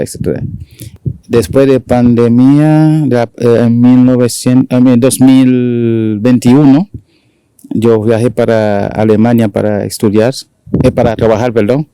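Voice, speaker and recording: Interview guest, 40s man from Haiti, Dominican accent, dark smooth voice, dry close sound. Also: medium pitch, no room sound. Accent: Dominican Spanish. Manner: halting, many fillers and restarts. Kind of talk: casual conversational interview.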